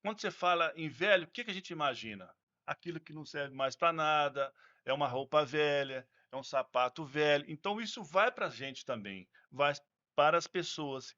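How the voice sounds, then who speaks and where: Brazilian male podcast guest, notably high-pitched, reflective explainer, slightly dull recording. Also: sharp tone. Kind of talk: conversational podcast discussion.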